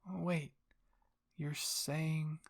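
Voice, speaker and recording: A man's voice: on the high side, little pitch movement, extremely slow, full character voice. A man voicing a distressed young royal daughter who pleads and questions in a dramatic anime fan fiction reading. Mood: confused, questioning.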